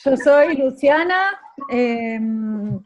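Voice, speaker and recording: A woman's voice: high voice, animated, extremely slow. An Argentine woman casually introducing herself as a participant in a class. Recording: dull call audio, faint noise.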